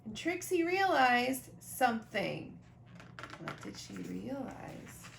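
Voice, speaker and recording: A woman reading a children's picture book aloud expressively, pausing to talk directly to young listeners about the pictures. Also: on the high side, big pitch swings, creaky. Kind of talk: animated children's storybook read-aloud with asides. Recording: roomy echo, faint background noise.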